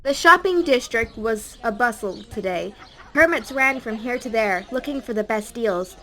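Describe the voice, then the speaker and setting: A woman's voice: fairly high pitch, expressive, moderate pace, bright, forceful tone, a little hiss or hum. A woman reading a scripted audiobook story as a dramatic narrator.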